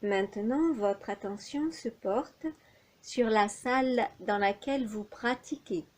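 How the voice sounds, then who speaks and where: Very slow, mellow woman guiding relaxation. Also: a little high. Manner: calm, measured, soothing instructions with long pauses. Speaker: yoga nidra instructor. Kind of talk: guided relaxation meditation.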